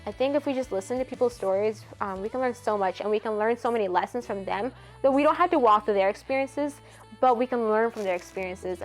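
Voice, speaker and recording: An eighteen-year-old woman from Ethiopia, a college student and singer-songwriter, talking earnestly in a casual interview, full of "like" fillers. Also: medium pitch. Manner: earnest, chatty, many "like" fillers.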